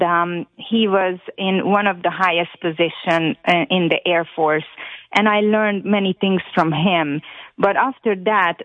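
A woman's voice: medium pitch, dry recording. A woman with a Hungarian accent and non-native grammar, calling a radio show over the phone to give an earnest, emphatic personal account. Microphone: telephone. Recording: tinny narrow phone line.

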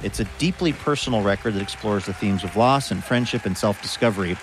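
A man's voice: lowish voice, fast, a faint crowd, rough recording. A man hosting a music show, introducing a guest and asking long, rambling, friendly questions. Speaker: radio show host. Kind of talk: interview hosting.

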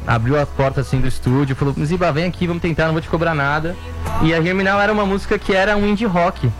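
A man's voice: a little high, quick pace. Brazilian guy, singer on a talk show, loose rambly answers, faint music under. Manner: relaxed, rambling with many fillers. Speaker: singer guest. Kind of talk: casual interview chat.

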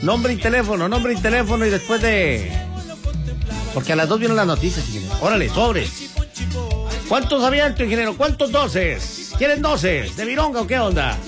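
Male radio host, northern Mexican accent. High, very animated delivery, playful slang, repeated calls to listeners. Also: moderate pace. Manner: slangy, playful, repetitive street-style patter. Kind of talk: casual live radio banter with listeners. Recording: music playing behind the voice.

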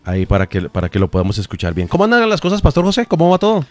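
A man's voice: a little high, huge pitch swings, very fast, a little mellow, no room sound. A man hosting a live radio program, greeting his guests and asking questions in a friendly way. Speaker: radio show host.

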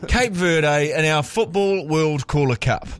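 A man's voice: on the high side, expressive, a little slow. A male radio co-host, teasing and joking with a caller. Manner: jokey, playful teasing. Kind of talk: breakfast radio banter.

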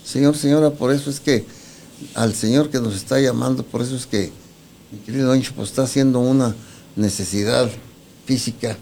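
Man, Mexican accent, radio host, gravelly, rambling chat, faint background hiss. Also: medium pitch, fairly even intonation, warm tone. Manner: rambling, repeats himself, fills pauses. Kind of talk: casual radio talk.

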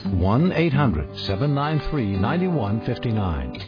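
A man announcing a radio ad in a measured way, reading out a phone number. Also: medium pitch, very animated. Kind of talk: radio ad voice-over. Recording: lo-fi radio ad with guitar music.

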